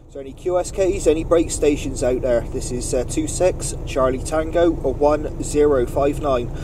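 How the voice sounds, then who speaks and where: A man's voice: a little high, normal speed. A man running a CB radio net, making procedural call-outs and chatting courteously with other operators. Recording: noisy vehicle CB radio setup, distant mic.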